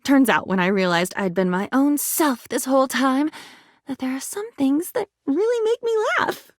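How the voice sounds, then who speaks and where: A woman's voice: high-pitched, expressive, moderate pace, no echo, studio quality. A woman playing a living diary in a video game, whimsical, chatty and sardonic, rambling playfully with dramatic asides. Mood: teasing, indignant. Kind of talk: expressive video game voice acting.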